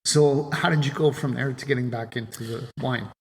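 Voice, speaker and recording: Gravelly male interviewer in a hall. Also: mid-range voice, fairly even intonation, normal speed. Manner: easygoing, short prompting questions. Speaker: host interviewer. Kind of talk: casual interview. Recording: long hall reverb.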